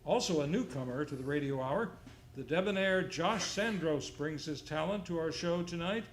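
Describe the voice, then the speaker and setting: A man hosting a live old-time radio show, announcing from a script in a theatrical, playfully comic way on a theater stage. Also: fairly high pitch. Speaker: series host and announcer. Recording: clearly noisy live stage recording.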